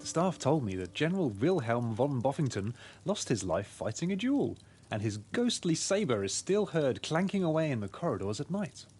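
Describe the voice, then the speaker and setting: A man's voice: medium pitch, big pitch swings, normal speed, darkish tone, soft background music, close to an everyday voice. A man playing a pig detective in a video game, laying out his deductions in an expository, storytelling way. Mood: explanatory. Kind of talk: scripted game dialogue.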